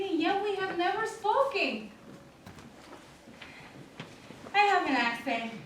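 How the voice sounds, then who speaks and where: Woman acting slow, high-voiced elderly widow, echoey. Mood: courteous, shy. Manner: slow, deliberate, hesitant storytelling with dramatic pauses. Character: elderly Dominican widow, lonely, courteous, haunted immigrant storyteller. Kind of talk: theatrical stage monologue. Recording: echoey room, distant mic, faint noise.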